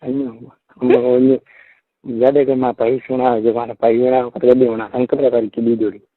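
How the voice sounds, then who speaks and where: A man's voice: level tone, fairly quick, no echo. A man with a Rajasthani Marwari accent chatting casually on the phone, often repeating his phrases. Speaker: tailor shop owner. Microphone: telephone. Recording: muffled low-fidelity phone call recording.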